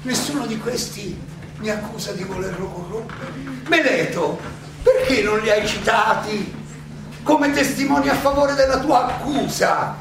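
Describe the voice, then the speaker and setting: A man acting an older, proud and ironic philosopher defending himself at trial in a halting, rhetorical stage monologue. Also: high-pitched, creaky. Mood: mocking, challenging. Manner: halting, rhetorical questioning with dramatic pauses and emphatic swells. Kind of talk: theatrical stage monologue, courtroom oration. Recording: very echoey distant stage recording, noisy.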